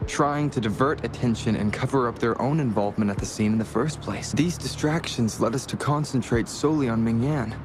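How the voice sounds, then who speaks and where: An actor voicing a composed, analytical investigator in a video game, measured and serious. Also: male voice, mid-range voice, lightly acted. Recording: clear background ambient noise.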